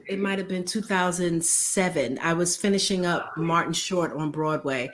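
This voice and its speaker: A middle-aged woman from Brooklyn, a concert singer chatting as a guest over a video call, animated and laughing often as she talks. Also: lowish voice. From Brooklyn, New York, USA. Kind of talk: casual interview chat.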